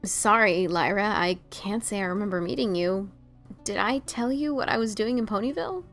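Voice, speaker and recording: Woman playing a brash, creaky-voiced pony adventurer. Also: medium pitch, normal speed, a bit bright, soft background music, lightly acted. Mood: puzzled, apologetic. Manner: hesitant, stammering, casual. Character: brash, self-doubting female pegasus pony adventurer-writer. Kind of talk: audio drama dialogue of fan fiction.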